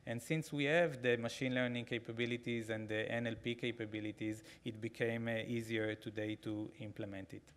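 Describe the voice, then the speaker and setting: A man in his forties with an Israeli accent, giving a keynote talk with a live demo from a podium microphone, halting with frequent fillers and restarts. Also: mid-range voice, fairly even intonation. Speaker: conference keynote speaker. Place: large conference hall, at a podium.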